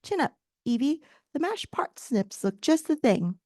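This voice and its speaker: A woman's voice: high-pitched, very animated, a little slow, warm tone, dry recording, studio quality, voice pushed up. Woman doing a charming man with faint British accent. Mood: fond teasing. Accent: faint British, put on.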